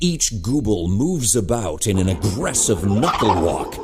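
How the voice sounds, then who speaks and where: A man's voice: lowish voice, sing-song, a little slow, very noisy. A man reading scripted narration for a superhero audio drama in a dramatic storytelling manner. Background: music.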